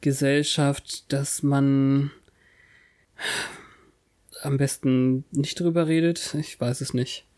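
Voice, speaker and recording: A man's voice: mid-range voice, steady tone, darkish tone. A man co-hosting a podcast, recapping a TV episode in casual chat with a wry manner and frequent laughs. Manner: wry, drawn-out, laughs often. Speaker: podcast co-host.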